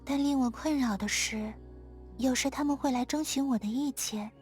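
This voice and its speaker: A woman playing a quiet, aloof, dreamy young moon maiden in a video game, talking in a gentle, detached, unhurried manner. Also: high-pitched, natural voice. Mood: calm, detached. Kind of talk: scripted video game character dialogue. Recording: studio voice over background music.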